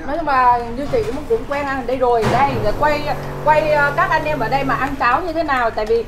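A woman talks through what she's tasting with quick, emphatic opinions. Her voice is high and her words come fast. Chatter and kitchen clatter fill the space around her. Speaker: guest tasting food. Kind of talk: casual food tasting chat. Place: busy restaurant kitchen, indoors. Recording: crowd and kitchen noise. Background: crowd talking, kitchen noise.

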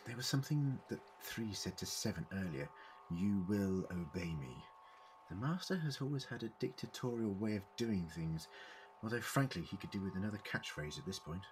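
An actor playing an eccentric, clever time-travelling man in an audio drama, deliberate and formally worded as he explains. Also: lowish voice, animated, slight background noise, close to an everyday voice, low-quality recording. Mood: measured, explaining.

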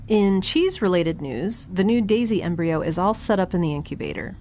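A woman's voice: lowish voice, a little hiss or hum, lightly acted. A woman playing a quirky young scientist in space, recording a chatty, wry spoken log for an audio drama. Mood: musing, wry. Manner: chatty, wry, self-interrupting, informal.

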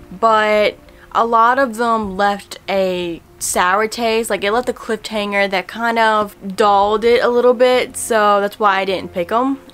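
A young woman in a casual book vlog, talking in a chatty, relaxed way with many fillers, recorded at home by a camera at desk distance. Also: mid-range voice, a little hiss or hum. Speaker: book vlogger. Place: home room in front of bookshelves.

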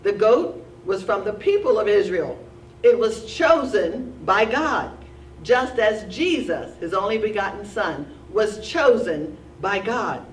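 A woman teaching a Sunday school Bible lesson at a church lectern, reading steadily and slowing down to stress key words. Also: mid-range voice, animated, slight background noise. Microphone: lectern mic, filmed from a distant camera. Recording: roomy church sound from a distance.

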